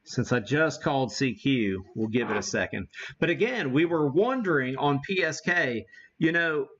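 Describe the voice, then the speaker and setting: An American man hosting a casual live demo, thinking aloud in a folksy way, on muffled low-fidelity stream audio. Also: fairly bright tone. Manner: folksy, mild homespun exclamations. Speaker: livestream host demonstrating ham radio gear.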